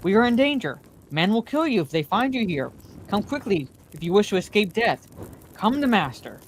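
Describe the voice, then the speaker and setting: A woman voicing a gentle but urgent young male hobbit, pleading and reassuring. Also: medium pitch, very animated, normal speed, a little hiss or hum, voice pushed up, natural voice, poor audio. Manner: pleading, soft then urgent.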